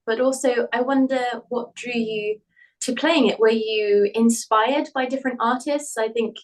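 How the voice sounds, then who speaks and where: A woman hosting an interview, asking polite, measured questions. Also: a little high, fairly even intonation. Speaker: host and author. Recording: voice a bit far from mic.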